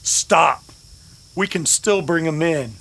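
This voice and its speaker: Principled Old West hero played by a man: raised pitch, slow earnest delivery, urgent, western audio drama. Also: vocal fry, a bit bright, heavily acted. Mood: urgent pleading. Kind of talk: old-time western radio drama acting.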